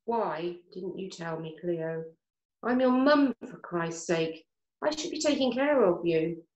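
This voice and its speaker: A woman's voice: lowish voice, lively intonation, a little slow, darkish tone, muffled recording. A woman acting a regretful, worried mother in her fifties in a dramatic scene, blunt and emotional. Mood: shocked, hurt. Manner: emotional, hesitant, blunt swearing. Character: worried, regretful, sweary mother of fifty-five. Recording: roomy echo.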